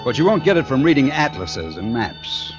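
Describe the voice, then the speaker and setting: An actor playing a brooding, fatalistic male painter who narrates his dark tale with moody, deliberate phrasing in old radio suspense style. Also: medium pitch, sing-song, natural voice. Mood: intense. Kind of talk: 1940s-50s radio suspense acting, first-person narration. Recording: dull old radio recording, music behind.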